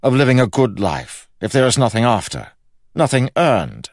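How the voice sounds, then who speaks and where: A man's voice: lowish voice, wildly swooping pitch, slow, no echo, clean studio sound, pitched above the performer's own voice, full character voice. A man voicing a pompous, pious king in an audiobook, questioning in a scornful, imperious way. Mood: disturbed, pressing. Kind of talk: audiobook character acting.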